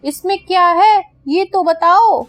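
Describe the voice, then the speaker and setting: Lady doing a bossy greedy crow wife, really high pushy voice, kids cartoon. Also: slow pace, fairly bright tone, dry recording, heavily acted. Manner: pushy, exclamatory. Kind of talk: Hindi children's cartoon dialogue.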